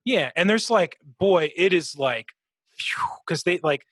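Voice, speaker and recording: A man's voice: high-pitched, lively intonation, measured pace, a bit bright, dry recording, clean studio sound. A man co-hosting a podcast, chatting casually about games, swearing freely and imitating sound effects. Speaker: podcast co-host. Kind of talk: casual gaming chat.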